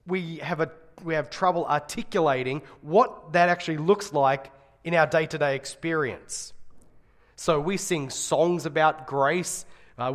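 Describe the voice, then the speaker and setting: A middle-aged man with a slightly raspy voice giving a conversational sermon, speaking earnestly and stressing key phrases. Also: a little high. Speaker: guest preacher and Bible college teacher.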